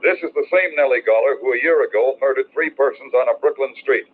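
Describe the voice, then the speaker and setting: Actor as a brisk, formal old-time radio newscaster. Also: male voice, mid-range voice, close to an everyday voice. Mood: grave urgency. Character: urgent male radio newscaster reading crime bulletins. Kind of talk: 1940s radio acting, news bulletin. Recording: old, noisy, phone-like radio recording.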